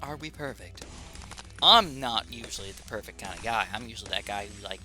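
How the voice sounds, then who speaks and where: A young man with a slightly raspy, sore throat, chatting excitedly while thinking aloud through commentary on his own gameplay. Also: on the low side, fairly quick, soft background music. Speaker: gaming YouTuber.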